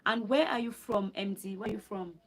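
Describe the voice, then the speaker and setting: A woman's voice: medium pitch, a little fast. A woman from Cameroon hosting a livestream, reading from notes, repeating her phrases and stopping to answer viewers' questions. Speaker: livestream host. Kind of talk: live stream talk reading notes and chatting with viewers.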